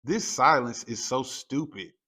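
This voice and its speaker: A naughty storybook kid, read by a man, grumbling and acting tough. The words come out as scornful, muttered complaints at a measured pace. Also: mid-range voice, sharp tone, husky. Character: grumbling, neglected naughty children trying to seem tough.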